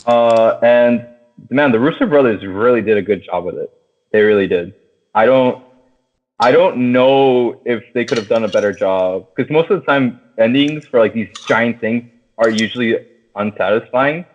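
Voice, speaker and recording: A man's voice: medium pitch, normal speed. A man hosting a podcast in a casual, enthusiastic, sweary chat about a film, full of filler words. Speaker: podcast host. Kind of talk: casual movie review chat. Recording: thin phone-like audio.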